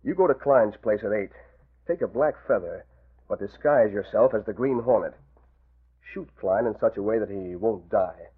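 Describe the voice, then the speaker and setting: An actor playing a cold, scheming crime boss in a 1940s radio drama, heard through an old muffled recording. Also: male voice, lowish voice, moderate pace, breathy edge. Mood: calculating.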